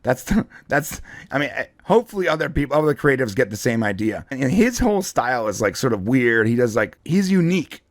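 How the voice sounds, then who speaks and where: Male host, mid-pitched, conversational and enthusiastic explainer for casual pop culture commentary, dry recording. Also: sing-song, fairly quick, no room sound. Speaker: show host and comic book fan commentator.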